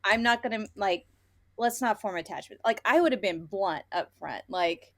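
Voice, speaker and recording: A woman's voice: medium pitch, normal speed, fairly bright tone. A woman podcast host in a casual book chat, ranting bluntly with opinions and swearing, often acting out imagined dialogue.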